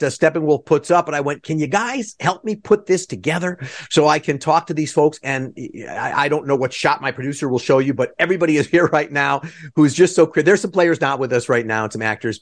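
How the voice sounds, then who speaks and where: Man, podcast host, enthusiastic, rambling, slightly high voice. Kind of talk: casual interview.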